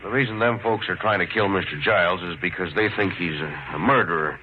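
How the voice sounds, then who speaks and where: Very deep-voiced, folksy police chief male actor. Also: fairly quick, lightly acted. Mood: blunt, grave. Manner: rustic, blunt, folksy grammar. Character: plain-spoken, folksy small-town police chief. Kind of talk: 1950s radio drama acting. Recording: tinny, hissy 1950s radio recording.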